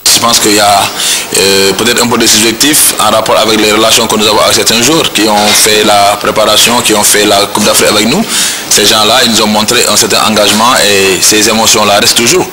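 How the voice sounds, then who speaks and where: A man with a Senegalese French accent speaks as a national coach at a press event. His voice sits low and he pauses and fills as he thinks. Clear noise runs behind him. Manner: hesitant, thinking aloud with fillers. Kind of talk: press statement.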